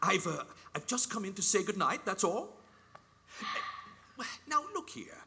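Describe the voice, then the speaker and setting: An actor playing a kindly, playful old Santa-like gentleman in a radio play, encouraging and chuckling, with hesitant, grandfatherly delivery. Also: high voice, big pitch swings, a little slow, vocal fry, full character voice. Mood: tender, gentle. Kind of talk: theatrical live radio-play acting.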